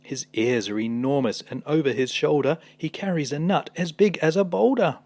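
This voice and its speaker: A man reading a children's picture book aloud as a storyteller, dramatic and expressive. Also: on the high side, moderate pace, dry recording.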